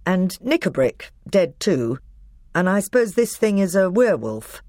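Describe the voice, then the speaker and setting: A reader voicing a confident teenage boy who is high king, speaking with assurance. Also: very animated, measured pace, a little mellow, no echo, clean studio sound. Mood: assured.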